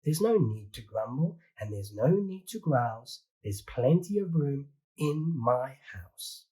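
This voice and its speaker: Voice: medium pitch, expressive, slow pace, mellow, slightly rough, rough recording. A reader voicing a fretful little old woman who keeps begging for help with her crowded house, in a pleading, sing-song storybook manner. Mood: relieved, glad.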